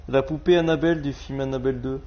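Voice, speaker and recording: A man's voice: medium pitch, fairly even intonation, fast, a little mellow. A man making casual, slangy prank-call banter on a live stream, with a cheeky manner. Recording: dull lo-fi live stream audio.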